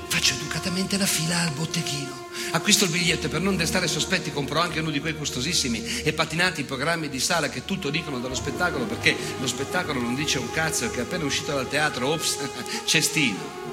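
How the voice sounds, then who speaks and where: An actor playing an unrepentant, ageing fascist in a theatrical monologue, declamatory and sardonic, repeated phrases and dramatic pauses. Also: male voice, fairly high pitch, loud music behind the voice, lightly acted. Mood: mocking. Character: unrepentant ageing fascist nostalgic, bitter, sneering, menacing man.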